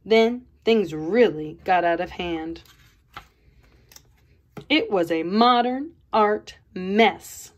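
A woman art educator reading a children's picture book aloud, expressive and playful. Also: medium pitch, extremely slow, pauses often, dull audio. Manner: expressive storybook reading with playful voices for quotes.

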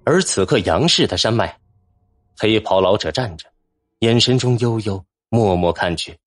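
Low-pitched man narrating with lively intonation. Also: moderate pace. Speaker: audiobook narrator. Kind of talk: dramatic scripted fantasy narration. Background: music.

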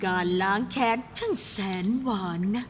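A woman's voice: fairly high pitch, big pitch swings, moderate pace, noisy background. An actress voicing a spiteful, vengeful female cat villain in a cartoon dub, with a scheming, menacing manner.

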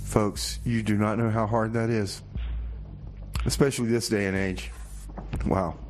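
A man hosting a community radio show with casual comedic patter, speaking in a halting, folksy, mock-earnest way. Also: fairly low pitch, fairly even intonation, soft background music.

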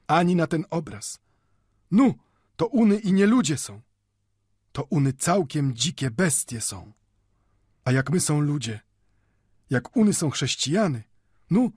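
Man playing a proud, emphatic old shopkeeper, raised pitch. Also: darkish tone, husky, no echo, clean studio sound. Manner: hard emphatic delivery in broken dialect.

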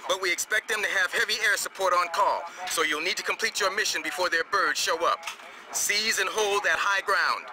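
A no-nonsense field commander, acted by a man briefs soldiers in a military video game. The voice is high for a man, clipped and authoritative, with clear noise behind it. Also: natural voice. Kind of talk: scripted mission briefing.

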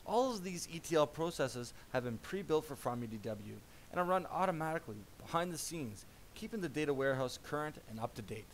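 Man narrating a software demo, faint hiss. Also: medium pitch, poor audio. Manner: measured explanatory presenter tone.

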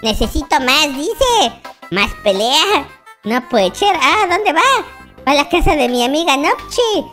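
Squeaky, excitable baby noob boy. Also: a little slow, soft background music, exaggerated voice. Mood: excited, chatty. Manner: exclamatory, dramatic, repetitive. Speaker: YouTube gamer playing a character. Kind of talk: excited children's Minecraft roleplay commentary.

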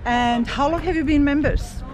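A woman casually interviewing attendees at a busy conference, asking friendly, brisk questions. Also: sits high. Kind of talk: casual vox-pop interviews. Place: busy conference venue, indoors and outdoors. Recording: crowd chatter behind.

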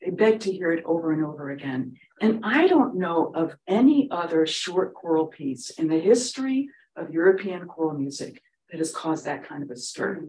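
A woman's voice: sits low, very animated, dark tone, far from the mic, low-quality recording. Woman lecturing from across a room. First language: Ukrainian. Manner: measured, precise lecturing. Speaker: conductor and panel presenter. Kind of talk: prepared academic talk. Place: seminar room with a panel at a table.

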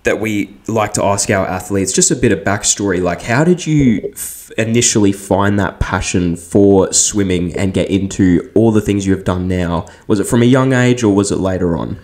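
A young man hosting a podcast interview, friendly, with many fillers and quick agreement. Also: fairly low pitch, a little mellow. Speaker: podcast host. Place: small room with podcast setup. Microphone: desk mic on stand.

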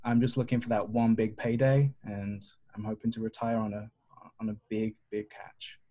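A man's voice: lowish voice, little pitch movement, normal speed, dark tone. A man in his thirties giving a cocky, teasing in-character introduction at an online tabletop game. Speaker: player. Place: indoors at home, online video call.

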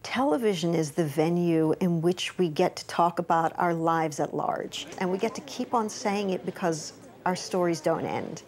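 A woman's voice: lowish voice, moderate pace, few pauses, a little mellow. A woman speaking confidently about the filmmaking in an interview.

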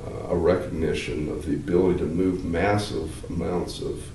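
An older man, a law professor, explaining at length in a measured, halting way with many fillers, seated in a wood-paneled room. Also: deep voice, fairly even intonation, dark tone. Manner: gestures with hands while talking. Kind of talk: explanatory interview answers. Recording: roomy, slightly distant, faint hiss.

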